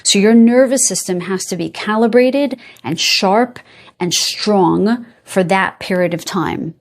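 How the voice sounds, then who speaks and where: A woman giving a spirit guide card reading to camera, speaking in a meandering, reassuring way, often checking in with her listeners and pausing to search for words. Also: mid-range voice. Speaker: psychic card reader.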